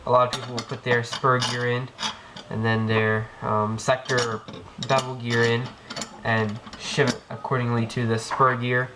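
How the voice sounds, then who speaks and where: A man with a cold giving a casual hands-on tutorial, rambling with many ums and restarts as he works. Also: medium pitch. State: has a cold. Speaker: airsoft gearbox tech demonstrator. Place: indoor room, work on floor. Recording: noisy home video with clicks.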